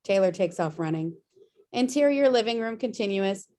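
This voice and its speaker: Woman reading out screenplay action lines, middle pitch, video call table read. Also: expressive, moderate pace, warm tone, slight background noise. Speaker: stage directions reader. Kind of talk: scripted narration at a table read.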